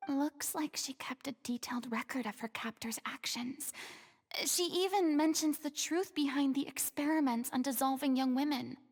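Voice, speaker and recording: A woman's voice: sits high, normal speed. A woman voicing a poised, commanding young noblewoman in a video game, speaking in an articulate, theatrical way. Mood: pensive. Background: game music.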